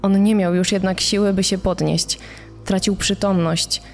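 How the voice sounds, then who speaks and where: A woman's voice: mid-range voice, fairly even intonation, measured pace, darkish tone, soft background music, natural voice. An actress playing a bereaved wife and mother, grave and distressed, in a true-crime podcast dramatization. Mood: grieving, grave. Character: bereaved wife and mother recounting a mountain tragedy.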